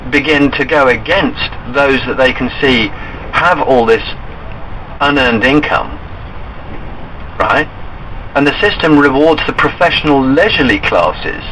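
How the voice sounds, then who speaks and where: Insistent man with a bright, forceful voice. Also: medium pitch. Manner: insistent, earnest, presses his points. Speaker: caller. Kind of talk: argumentative phone conversation. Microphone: telephone call. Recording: noisy recorded phone call.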